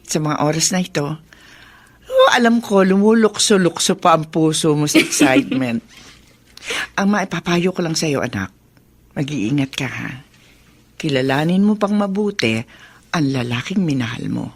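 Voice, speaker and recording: A woman's voice: deep voice, sing-song, normal speed, pauses often, heavily acted. An actress playing a chatty, knowing middle-aged widowed mother in a Filipino radio drama. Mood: knowing, teasing. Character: warm, teasing widowed mother, market clothes vendor.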